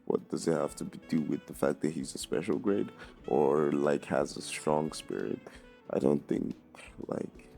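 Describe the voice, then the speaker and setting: A man's voice: rumbling low, creaky, dark tone. A man giving a casual, sweary opinion rant as a video creator, slangy and exasperated in his delivery. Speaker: anime commentary video creator.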